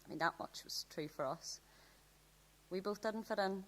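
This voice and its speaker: A woman's voice: mid-range voice, normal speed, natural voice. An actress playing an open, tender, lovestruck teenage girl in a radio drama, speaking in a natural, hesitant way. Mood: wistful narration.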